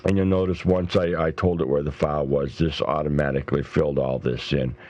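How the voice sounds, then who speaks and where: A middle-aged man with a very low-pitched voice presents a casual software tutorial. He explains each step informally, and the sound is dry and close. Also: steady tone, fairly quick, no echo, lo-fi sound. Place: indoors, voice-over for a screen recording.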